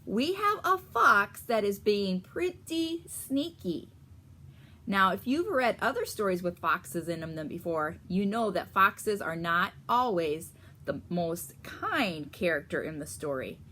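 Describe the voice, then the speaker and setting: Slow, animated woman reading to children. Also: medium pitch. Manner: teacherly, addressing children with questions. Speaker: story reader for children. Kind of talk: expressive picture-book read-aloud with explanations.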